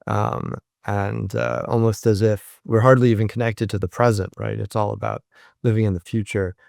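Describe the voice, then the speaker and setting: Low-voiced thoughtful male professor. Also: flat delivery, moderate pace, gravelly, darkish tone, dry recording, studio quality. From United States. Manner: thoughtful, with frequent ums and restarts. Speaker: professor and interview guest. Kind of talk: reflective interview answers.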